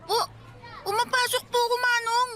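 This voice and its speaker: A woman's voice: very high-pitched, steady tone, normal speed. A woman voicing a polite, earnest poor runaway boy in a Tagalog radio drama, respectful and pleading. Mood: defensive, pleading.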